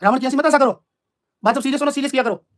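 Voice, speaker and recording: A man's voice: very high-pitched, rapid-fire. A man in an informal live-stream argument, explaining himself in an emphatic, repetitive, combative way.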